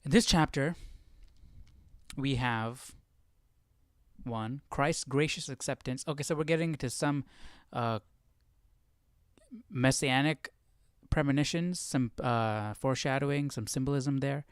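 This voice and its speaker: Clear, close-sounding man thinking aloud casually. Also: mid-range voice, a little slow, pauses often, no room sound. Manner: tentative, questioning, musing. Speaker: livestream Bible study host. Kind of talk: casual live study talk, thinking aloud.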